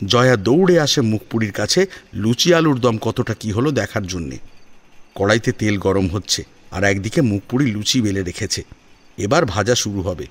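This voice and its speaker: A man narrating a scripted audio drama in an expressive storytelling style. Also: fairly low pitch, normal speed.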